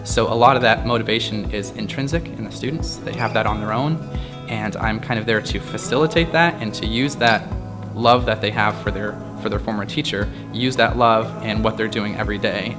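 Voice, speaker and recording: A man's voice: fairly low pitch, fairly even intonation, fairly quick, music in the background. A man, the new band teacher, speaking earnestly and reflectively in an interview.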